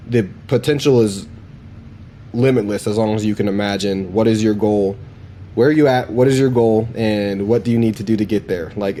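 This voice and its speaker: A man's voice: on the low side, dark tone, a little hiss or hum. An enthusiastic man presenting a casual, slangy product demo and explainer in an informal way. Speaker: presenter demonstrating voice AI assistants he builds.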